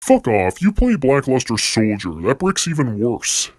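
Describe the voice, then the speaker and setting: A man voicing a gruff, blunt, sardonic card duelist with a menacing edge for an amateur anime-style series. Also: medium pitch, big pitch swings, unhurried, darkish tone, dry recording.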